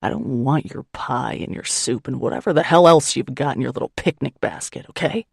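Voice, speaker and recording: Woman narrator putting on a surly exhausted man, hoarse and scratchy, barking, very low, gravelly, dry sound. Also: fairly quick, dry recording, full character voice. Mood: angry. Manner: barking, growling retorts. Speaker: audiobook narrator.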